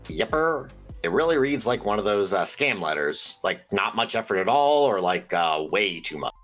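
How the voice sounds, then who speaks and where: An actor playing an eccentric, conspiracy-minded late-night radio host in an audio drama, theatrical, folksy and rambling. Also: male voice, lowish voice, very animated, normal speed, fairly bright tone, faint music behind. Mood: earnest. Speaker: radio call-in show host.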